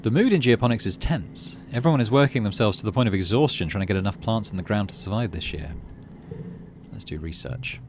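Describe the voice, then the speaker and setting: Lively man narrating a game playthrough. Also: on the low side, a little hiss or hum. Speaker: gaming video host. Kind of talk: game playthrough commentary and reading story text aloud.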